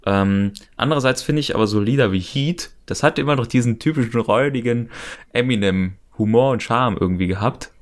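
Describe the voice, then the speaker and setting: A man's voice: medium pitch, fairly quick. A man co-hosting a podcast, chatting casually about music in a rambling, slangy way. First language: German. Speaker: podcast co-host.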